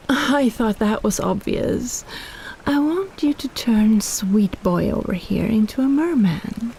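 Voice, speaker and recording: A woman's voice: fairly high pitch, expressive, slow pace, vocal fry, a little mellow, slight background noise, full character voice. A woman playing a possessive, teasing kraken in an intimate roleplay audio drama, coaxing and condescending as she schemes. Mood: smug teasing.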